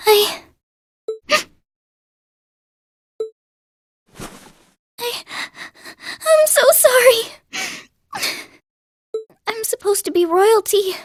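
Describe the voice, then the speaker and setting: An actress playing a sweet, refined teenage princess in an anime game dub, with genteel, formal phrasing. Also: female voice, very high voice, no echo, studio quality, full character voice. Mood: distressed, faltering. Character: sweet, poised teenage princess putting on a brave face.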